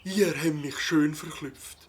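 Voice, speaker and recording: Helpful nature-lover played by a man for a kids' radio play, Swiss German, very raspy and breathy, with birdsong around. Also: a little high, fairly even intonation, slow. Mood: friendly. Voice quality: husky, breathy. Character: kindly adult male birdwatcher, concerned, helpful. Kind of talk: children's audio drama acting.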